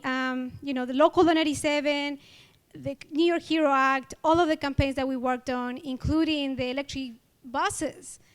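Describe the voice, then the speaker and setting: A woman from Ecuador with an Ecuadorian Spanish accent, giving an earnest, grateful award acceptance speech with pauses and fillers. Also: high voice. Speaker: award honoree.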